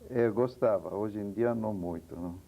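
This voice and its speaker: A man born in Egypt who speaks Portuguese with an Italian accent, answering interview questions in short, halting replies. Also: lowish voice, vocal fry. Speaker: interview guest. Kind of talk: hesitant conversational interview answers. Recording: dull lo-fi TV interview audio.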